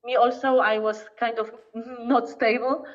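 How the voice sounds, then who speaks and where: A woman from Poland with a Polish accent, a podcast guest telling her story in English, often pausing to search for words. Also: fairly high pitch, little pitch movement. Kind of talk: casual interview talk. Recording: distant, dull lo-fi remote audio.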